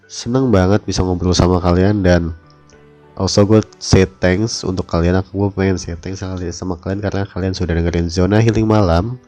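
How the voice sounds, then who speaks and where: A man's voice: sits low, warm tone. A young man from Depok, hosting a solo late-night radio talk show while down with the flu, speaking casually and sometimes groping for words. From Depok, West Java. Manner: casual, searching for words, heartfelt. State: has the flu. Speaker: radio host.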